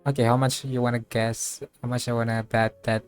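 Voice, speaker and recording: A man giving casual playthrough commentary as a game streamer, musing aloud and thinking things through haltingly. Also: mid-range voice, flat delivery, smooth. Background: game music.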